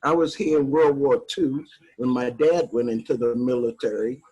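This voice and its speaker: A woman in her eighties from Harlem, New York, reflecting slowly on her life during a video call workshop, with soft laughs. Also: very deep voice, slightly rough, rough recording. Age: 80s. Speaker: workshop participant.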